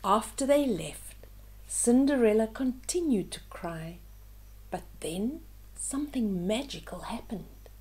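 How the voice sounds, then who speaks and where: A woman reading a storybook aloud to children, expressive and often hushed, with asides to the listeners. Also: fairly high pitch, takes it slowly, husky.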